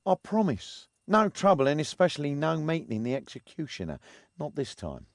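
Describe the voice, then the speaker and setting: Shifty, highish-voiced greedy crook. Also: male voice, very animated, normal speed, vocal fry, no room sound, studio quality. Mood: cajoling. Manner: offhand, cajoling. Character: shifty, greedy male crook, fast-talking schemer. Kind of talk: video game cutscene acting.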